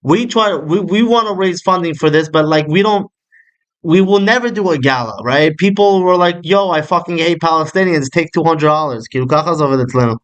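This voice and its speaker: A man's voice: on the high side, quick pace. A young man in casual, rambling talk, swearing freely, peppering every line with "like" and "bro" and dropping in Hebrew words. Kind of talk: casual chat.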